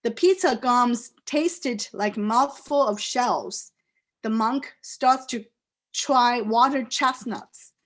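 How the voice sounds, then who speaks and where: A poet whose first language is a Chinese dialect, speaking with a Chinese accent and reading her poems aloud in slow, deliberate phrases with pauses. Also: on the high side, animated, fairly bright tone. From China. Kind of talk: poetry reading. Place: live reading event.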